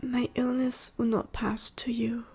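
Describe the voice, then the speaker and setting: A woman voicing a sorrowful, gentle dying dragon in an ASMR roleplay, whispery and short of breath, with slow, formal, old-fashioned phrasing. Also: on the high side, flat delivery, gravelly, slightly rough, heavily acted. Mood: wistful, weary. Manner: slow, formal, archaic phrasing with heavy pauses. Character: dying female dragon, last of her bloodline, sorrowful, gentle.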